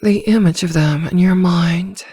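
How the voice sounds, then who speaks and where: A woman playing a vampire posing as a therapist in an ASMR roleplay, speaking softly and soothingly with quiet command, often near a whisper, close to the mic. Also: fairly low pitch, measured pace, dry recording. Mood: softly teasing. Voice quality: soft, breathy, often slipping into whisper. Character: female vampire posing as a calm, teasing, controlling therapist. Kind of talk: ASMR roleplay, slow guided hypnosis. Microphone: close microphone, dry.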